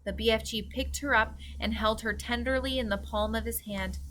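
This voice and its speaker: A woman reading a children's story aloud as an expressive narrator. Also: fairly high pitch, moderate pace, a little hiss or hum, low-quality recording.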